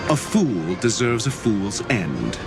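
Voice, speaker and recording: An actor playing a stern, scornful professor who talks in cryptic riddles, in a game scene with music behind him. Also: male voice, on the low side, huge pitch swings, slow, full character voice. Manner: cryptic, lecturing, contemptuous. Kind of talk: game voice acting. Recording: game dialogue over music.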